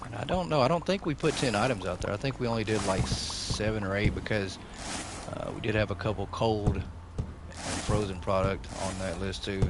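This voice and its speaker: A man hosting a gaming channel, chatting casually over gameplay in a rambling, jokey way full of fillers, his voice going slightly hoarse. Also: fairly low pitch, a little fast. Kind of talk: casual let's-play commentary. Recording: noisy background under the voice.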